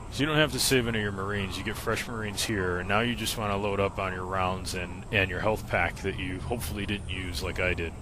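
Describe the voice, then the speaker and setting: Low-voiced man explaining a game. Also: steady tone, fast talker, hardly any pauses. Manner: plain, instructional, informal. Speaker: game walkthrough narrator. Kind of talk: casual explanatory gameplay commentary. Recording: voice-over with clear game noise behind.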